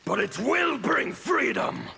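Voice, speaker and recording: An actor playing a fanatical, defiant anarchist rebel in a video game, theatrical and ranting. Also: male voice, extremely high pitch, very animated, slow pace, vocal fry, pushed and bright, slight background noise, full character voice. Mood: furious. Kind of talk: video game villain dialogue.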